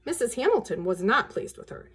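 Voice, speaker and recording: A woman reading a children's story aloud in an expressive narrating style, with casual asides. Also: fairly high pitch, fairly quick, husky.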